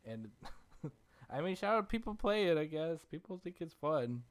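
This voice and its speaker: A man's voice: fairly high pitch, lively intonation, normal speed. A man hosting a podcast in a casual, conversational style, chatting and reading sponsor spots. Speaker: podcast host. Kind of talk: casual chat and ad reads.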